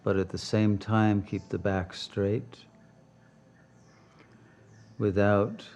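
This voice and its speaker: A man leading a guided meditation as a teacher, speaking calmly and deliberately with long pauses. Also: on the low side.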